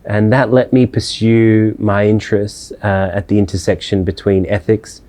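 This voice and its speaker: A man, giving thoughtful interview answers with many pauses, fillers and restarts. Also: fairly low pitch, little pitch movement, dark tone. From Australia. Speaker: interviewed scholar.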